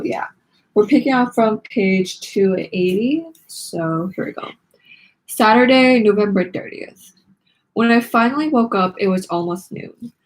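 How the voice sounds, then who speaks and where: A woman's voice: mid-range voice, slight background noise, rough recording. A woman hosting a read-along, narrating a children's book expressively.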